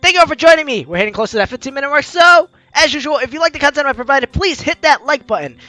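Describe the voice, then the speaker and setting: A video game host with an animated, teasing, exclamatory way of talking, reading aloud and commenting playfully. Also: sits high, quick pace, no echo. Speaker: let's-play video host.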